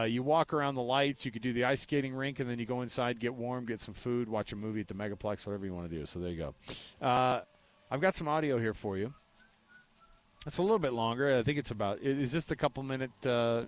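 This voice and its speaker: A man's voice: medium pitch, fast. An American man hosting sports talk radio in an informal, conversational way. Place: outdoors at a ballpark.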